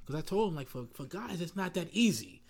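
A man's voice: fairly high pitch, very animated, a little fast. A man hosting a casual group discussion, posing questions conversationally and acting out bits of quoted dialogue.

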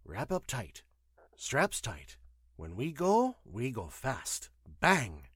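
A man performs a winged alien pilot in a sci-fi audio story, gruff and sly. The speech comes in short, broken English bursts, animated in pitch and recorded dry and close. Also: takes it slowly, dry recording, heavily acted. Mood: urgent, brusque.

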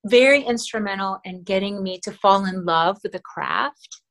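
A woman's voice: mid-range voice, moderate pace, poor audio. Earnest Filipino woman, middle-aged. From Philippines. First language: Tagalog. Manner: earnest, with frequent fillers and soft laughs. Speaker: actress, interview guest. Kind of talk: casual interview talk.

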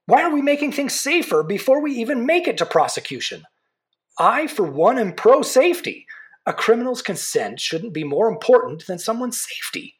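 A woman's voice: fairly high pitch, sing-song, normal speed. A woman playing a zealous, insistent political campaigner in a podcast drama, speaking emphatically with rhetorical repetition. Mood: indignant, sardonic. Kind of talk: fiction podcast drama, talk show debate.

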